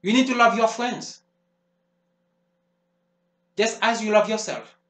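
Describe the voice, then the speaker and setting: An actor playing a fervent preacher who speaks in emphatic, repetitive sermon phrases. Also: male voice, high-pitched, takes it slowly, fairly bright tone. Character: fervent male preacher warning of the devil. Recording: roomy echo.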